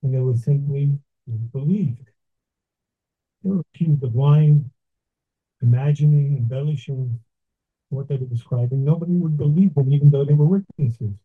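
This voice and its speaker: An older man from Brooklyn, giving a slow, deliberate, reflective talk with pauses. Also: medium pitch, breathy edge. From Brooklyn, New York. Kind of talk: measured reflective address. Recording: tinny phone-like sound.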